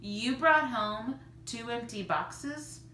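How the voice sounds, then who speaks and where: Woman playing a high, excitable storybook mom. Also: slow pace, exaggerated voice. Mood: indignant. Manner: high, exclamatory. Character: excitable, bustling mother of the family. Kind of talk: children's storybook read-aloud. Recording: roomy, distant mic, faint noise.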